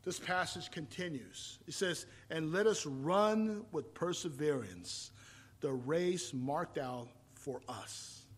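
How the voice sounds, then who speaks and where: A man in his fifties, from Taiwan, preaching a sermon and telling his own story, rising to loud emphatic points and falling back to quiet, reflective storytelling. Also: a little high, expressive, takes it slowly, breathy edge. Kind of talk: sermon with personal testimony.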